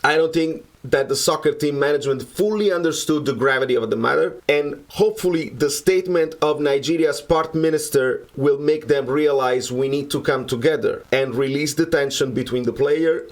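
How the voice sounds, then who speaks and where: A man from Naples with a Neapolitan Italian accent and Italian as his first language, reading an earnest, measured personal statement. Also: fairly high pitch, low-quality recording. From Naples, Italy. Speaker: musician.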